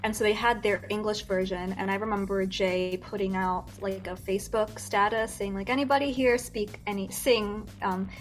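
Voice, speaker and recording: A woman's voice: mid-range voice, steady tone, moderate pace, hardly any pauses, soft background music. A young American woman chatting as a guest singer in an interview, talking with lots of fillers, restarts and giggles. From United States. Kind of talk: casual interview chat.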